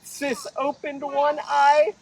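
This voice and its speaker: A man reading a picture book aloud to children in an expressive, theatrical way. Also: very high-pitched, takes it slowly, gravelly, recorded from a distance, rough recording. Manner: theatrical, animated. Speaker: children's storytime reader.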